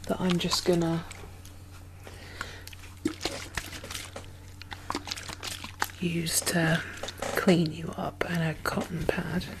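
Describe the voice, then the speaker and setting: A woman playing a gentle, chatty medic in a zombie apocalypse ASMR roleplay, speaking softly and hesitantly with a reassuring manner. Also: on the low side, level tone, unhurried, background noise. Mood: soft reassurance. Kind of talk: soft-spoken, whispered ASMR roleplay.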